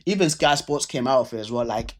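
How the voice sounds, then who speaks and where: A man's voice: mid-range voice, lively intonation, quick pace. A man with a stammer who repeats words and restarts, casually talking viewers through a football stats breakdown. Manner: chatty, speaks straight to viewers. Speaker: football video creator.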